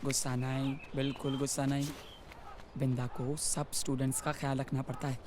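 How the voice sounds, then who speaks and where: Actor as a kindly father, slightly high voice. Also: steady tone, fairly quick, background noise, lightly acted. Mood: soothing. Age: middle-aged. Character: calm, kindly father, soothing a hot-headed young woman. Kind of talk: naturalistic screen drama acting.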